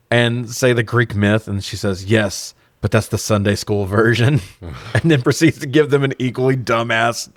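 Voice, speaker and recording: A man with a fairly low voice speaks in a very dry, close recording. He recaps a movie casually as a podcast co-host. His delivery is sarcastic, profane and amused. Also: no room sound. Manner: sarcastic, profane, laughs through remarks.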